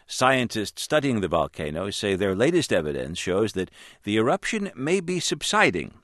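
A male news anchor reading measured, scripted introductions and questioning a correspondent. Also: fairly low pitch, fairly bright tone, no echo, studio quality. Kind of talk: broadcast news hosting.

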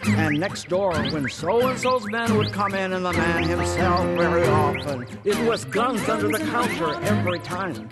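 An older woman with a Midwestern elocution-class accent, reciting spoken word in a commanding voice with crisp, precise diction. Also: on the low side. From Davenport, US Midwest. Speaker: grandmother speaking on a song. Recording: spoken word over loud band music.

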